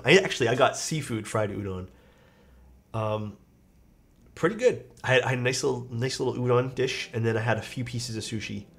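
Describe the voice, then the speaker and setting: A young man with a stuffed-up, nasal voice, chatting casually on a live stream while he builds a keyboard and reads out chat. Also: mid-range voice. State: stuffed-up nose.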